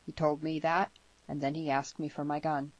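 A woman voicing a grave, earnest young man in an audiobook, speaking gently and solemnly. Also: sits low, little pitch movement. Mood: haunted, solemn. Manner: slow, gentle, solemn, plain-spoken. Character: grave, earnest, gentle twenty-two-year-old man. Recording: slightly muffled lo-fi audio.

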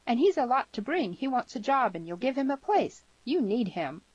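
Woman playing young western ranch girl, higher voice, bold, emphatic, exclaiming, storybook style, muffled sound. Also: very animated, moderate pace, slightly rough. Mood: bold, insistent. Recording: dull, roomy sound.

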